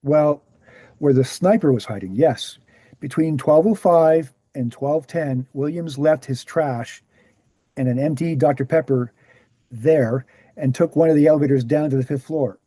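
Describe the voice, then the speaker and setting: Casting: man to play earnest male author-lecturer, very mellow and soft-edged, slightly high pitch, measured explanations, dry close sound. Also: no room sound. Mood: measured, factual. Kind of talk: screenplay table read, explanatory lecture.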